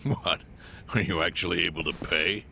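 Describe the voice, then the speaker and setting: A very deep, stern old clan boss. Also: male voice, vocal fry, pushed and bright, slight background noise, heavily acted. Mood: dry. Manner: measured, formal storytelling. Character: stern old male crime clan chief recounting history. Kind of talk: video game cutscene voice acting.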